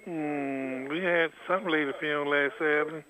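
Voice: medium pitch, fairly even intonation, moderate pace, pushed and bright, low-quality recording. A funeral home worker with a Southern US accent, giving short, polite answers on the phone. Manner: polite, brief replies. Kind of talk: phone conversation.

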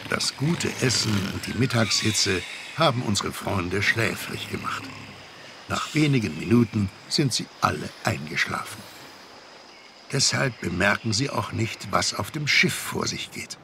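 Deep, creaky male storyteller. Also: expressive, a little slow, noisy background. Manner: rhetorical, storyteller's suspense. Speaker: narrator. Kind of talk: scripted storytelling for children's audio drama. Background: music.

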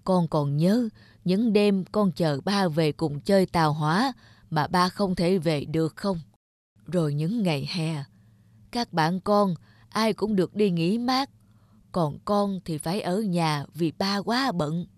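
An actor playing an earnest, remorseful older father who speaks in a slow, measured, solemn way in an animated drama. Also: sits high, no room sound. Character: grey-bearded old father, veteran animator, earnest and remorseful. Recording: background music under the voice.

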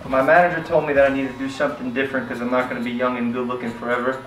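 A young man hosting a comedy barbershop show, teasing his guest with deadpan jokes as he cuts hair. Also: medium pitch, rapid-fire. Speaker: host and barber. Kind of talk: joking comedy banter. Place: small barbershop, indoors. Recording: echoey room from far off with music.

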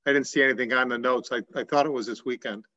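Man, board member, bright, forceful, dull call audio. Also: medium pitch, steady tone, quick pace. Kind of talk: casual meeting discussion.

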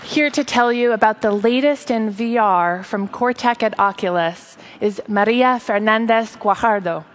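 A woman in her thirties delivering a rehearsed tech keynote on a large stage, speaking in a measured, earnest and articulate way. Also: on the high side. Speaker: tech company presenter.

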